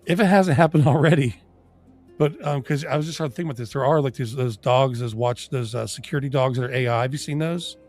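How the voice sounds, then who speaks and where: Casual, lower-pitched man losing his voice. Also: fast talker, darkish tone, soft background music. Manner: sweary, informal, stumbling over words. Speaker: livestream co-host. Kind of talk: casual livestream chat.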